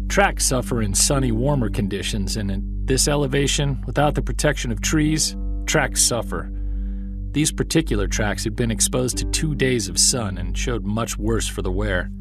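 A man's voice: mid-range voice, natural voice. A man playing an earnest, wry Sasquatch investigator, confiding in first person in an audio drama. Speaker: narrator and lead of an audio drama. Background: music.

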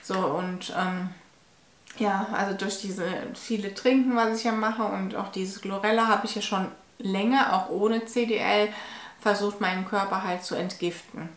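A woman in her forties talking to camera at home in a personal vlog, nasal from a cold, tired and halting with restarts. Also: mid-range voice, fairly even intonation. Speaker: vlogger. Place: home living room, indoors. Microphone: fixed camera at medium distance. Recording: echoey room.

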